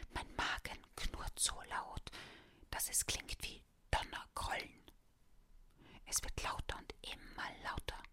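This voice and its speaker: A woman reading a children's story aloud in a soft, unvoiced whisper.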